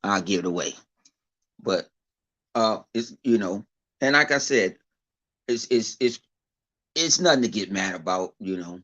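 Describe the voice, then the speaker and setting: A man's voice: on the low side, expressive, a little slow, long pauses, fairly bright tone. Man with a big voice, rambling rant. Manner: rambling, profane, full of fillers and repeats. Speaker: gaming YouTuber. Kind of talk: casual off-the-cuff vlog rant. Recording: dull lo-fi recording.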